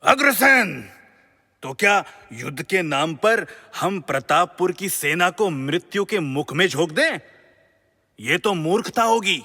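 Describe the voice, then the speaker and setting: A man acting a pragmatic, forceful royal courtier in a mythological audio drama, declaiming formally and with emphasis. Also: high voice, very animated, unhurried, full character voice. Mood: indignant. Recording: long hall-like reverb.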